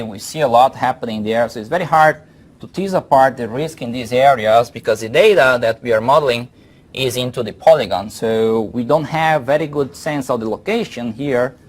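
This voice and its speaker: A man from Brazil with a Brazilian Portuguese accent gives an informal academic presentation, often repeating words as he thinks. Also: mid-range voice. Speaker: guest speaker, professor. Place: lecture room.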